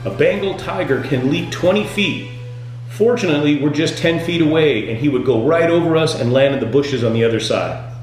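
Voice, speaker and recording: A man delivering scripted comedic tour-guide patter as a boat skipper, with deadpan, punning jokes. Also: fairly high pitch. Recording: very echoey room, music underneath.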